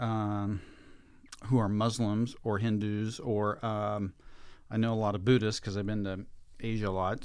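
Guy, pastor doing a casual podcast chat, clear smooth voice, really dry sound. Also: lowish voice, no echo. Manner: informal, conversational. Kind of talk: conversational podcast interview.

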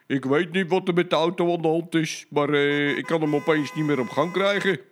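A man's voice: on the high side, a little fast, a little hiss or hum, voice pushed up, natural voice. A man voicing a flustered, embarrassed truck driver in a storybook, speaking in a raised, hesitant voice.

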